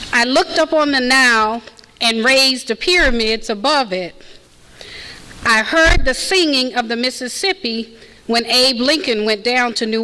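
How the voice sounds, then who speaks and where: A woman reciting a poem aloud at a university read-in, slow and measured. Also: a little high, sharp tone. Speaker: student reader at a read-in. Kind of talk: poetry reading. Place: university center event room. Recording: long hall reverb, faint ambience.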